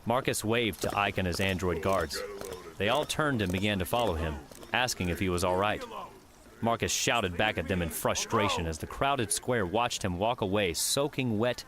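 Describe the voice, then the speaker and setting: A man's voice: on the low side, expressive, moderate pace, crowd noise. A man reading scripted fiction narration as an audiobook narrator.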